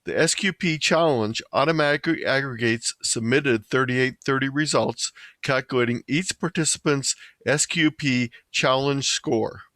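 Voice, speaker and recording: A man narrating a scripted slideshow presentation in a measured, slightly halting way, stumbling over the odd word. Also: medium pitch, sharp tone, no room sound. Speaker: presenter of an amateur radio slideshow.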